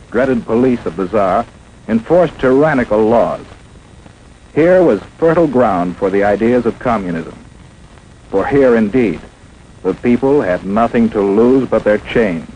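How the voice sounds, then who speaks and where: Animated American male 1950s film narrator, faint hiss. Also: medium pitch, unhurried. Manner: emphatic, dramatic, rhetorical questioning. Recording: noisy 1950s film soundtrack.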